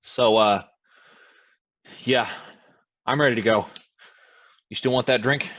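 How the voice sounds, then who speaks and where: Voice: medium pitch, takes it slowly, fairly bright tone, dull audio. An actor playing a casual, reluctant drinking partner with a hesitant manner in a staged play reading. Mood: offhand.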